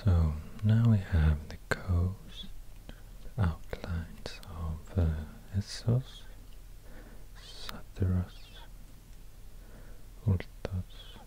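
A man with a Swedish accent giving soft, whispery ASMR narration in a hushed, gentle manner, close to a binaural mic. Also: sits low, very slow, pauses often, rough recording. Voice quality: whispery, breathy, unvoiced. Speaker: ASMR video host. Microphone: close binaural microphone.

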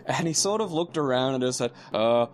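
A man's voice: medium pitch, expressive, a little fast. A young Australian man commentating a video game playthrough with a friend, cracking crude jokes and laughing often. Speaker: let's play commentator. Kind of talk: casual gaming banter. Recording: faint game music behind.

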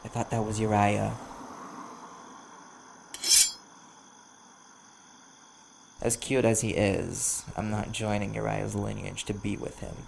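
A man voicing a wary, desperate vampire bounty hunter in an audio roleplay, blunt and guarded, with a gravelly voice. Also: on the low side, level tone, moderate pace. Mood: defensive, firm. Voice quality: gravelly, creaky. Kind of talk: ASMR audio roleplay acting. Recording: clear background noise, chirping crickets.

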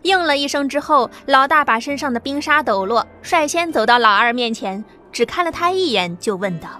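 A woman's voice: sits high, lively intonation, moderate pace, bright, forceful tone, faint music behind. Woman narrating an audio novel. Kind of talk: scripted narration.

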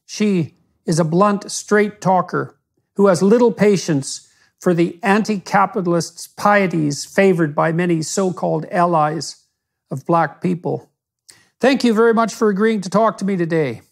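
Man, middle-aged, interview host, measured, gravelly. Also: high voice. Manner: measured, formal reading. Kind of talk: scripted guest introduction, interview.

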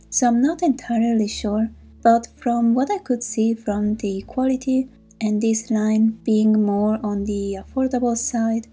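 A Swiss woman with an Italian accent, a native Italian speaker, calmly explaining an art supply review in a voice-over. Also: fairly high pitch, slightly rough. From Switzerland. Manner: calm, explanatory, a little hesitant. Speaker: art channel host.

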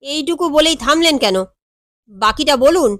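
A woman voicing a timid, nosy, excitable male errand runner who exclaims and asks questions deferentially in an audio story. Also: high voice, normal speed, dry recording, studio quality, pitched above the performer's own voice. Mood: curious.

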